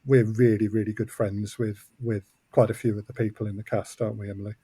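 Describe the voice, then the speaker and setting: A man's voice: on the low side, level tone, fast, warm tone, husky, no room sound. A man who makes audio drama, talking casually as an interview guest in a thoughtful, hesitant way, often repeating words.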